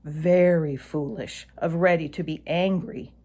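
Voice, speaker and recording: A woman narrating a children's animal story aloud in an expressive storytelling style. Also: deep voice, unhurried, breathy edge.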